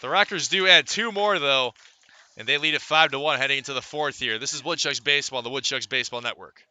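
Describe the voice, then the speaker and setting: A man's voice: mid-range voice, quick pace, bright, forceful tone. A man calling a baseball game as a play-by-play announcer in a live radio commentary style.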